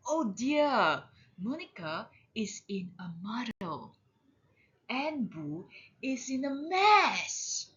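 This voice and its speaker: A woman reads a picture book aloud for small children. Her voice is bright and fairly high, swinging widely in pitch, and she draws the words out expressively at a very slow pace. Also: lo-fi sound. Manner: expressive, drawn-out, deliberate delivery for young children. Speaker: storyteller, parent reading aloud.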